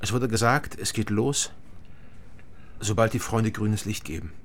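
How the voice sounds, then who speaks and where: A man's voice: fairly low pitch, a little slow, slight background noise. A man playing a wry, understated civilian investigator who also narrates a radio drama. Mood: earnest, polite. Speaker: narrator and lead actor. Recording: music behind the voice.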